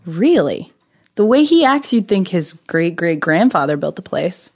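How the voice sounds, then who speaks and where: An actress playing a breezy, irreverent amateur sleuth who loves gossip, wry and chatty in an audio drama. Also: female voice, on the low side, sing-song, unhurried, husky, heavily acted.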